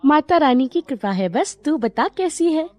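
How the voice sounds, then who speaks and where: A woman plays a brisk matchmaking relative in a cartoon moral tale. Her voice is high and swings freely in pitch. She is chatty and matter-of-fact, recorded close and dry. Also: animated, a little mellow, no room sound. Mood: chatty, reassuring. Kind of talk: animated Hindi moral story dialogue.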